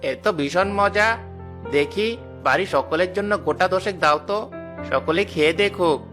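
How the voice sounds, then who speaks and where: A man voicing a curious, pleased village gentleman in a cartoon story. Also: high voice, normal speed, faint music behind. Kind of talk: Bengali cartoon story voice acting.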